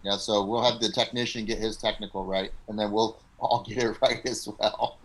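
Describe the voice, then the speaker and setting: A man's voice: lowish voice, steady tone, normal speed, a bit bright. Acting teacher, male, blunt and direct, giving notes on a video call. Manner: blunt, coach-like. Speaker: acting teacher leading a table read.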